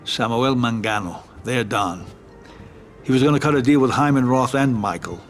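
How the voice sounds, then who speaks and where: Calm, calculating mob adviser played by an actor. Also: male voice, medium pitch, normal speed, faint music behind, natural voice. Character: calm, calculating male mafia consigliere, dry and efficient. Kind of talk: video game cutscene acting.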